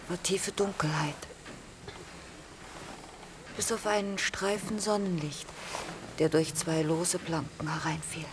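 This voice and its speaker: A woman playing a quietly wry mother in a radio play, recalling her past in a hushed, hesitant, intimate way. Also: fairly low pitch, background noise. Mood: quiet, haunted. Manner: hesitant, hushed, breaking into whispers.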